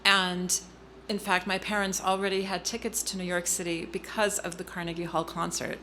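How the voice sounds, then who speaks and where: A middle-aged woman telling a personal family story in an interview, earnest and halting, with frequent ums and restarts. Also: on the low side, flat delivery, bright, forceful tone, a little hiss or hum. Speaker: guest speaker.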